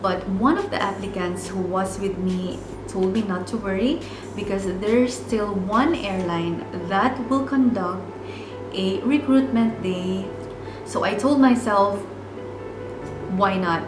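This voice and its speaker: A woman's voice: mid-range voice, slow. A woman from the Philippines in her thirties with a Filipino accent, telling a personal story in an earnest, reflective way as a vlogger. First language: Bicolano. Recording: echoey room with music.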